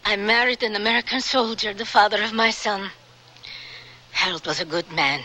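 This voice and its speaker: Actress as solemn, grieving older woman, clear bright edge. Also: medium pitch, a little hiss or hum, natural voice. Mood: solemn, firm. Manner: measured, halting, solemn. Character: grieving older woman, Holocaust survivor, dignified and resolute. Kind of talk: dramatic radio drama acting.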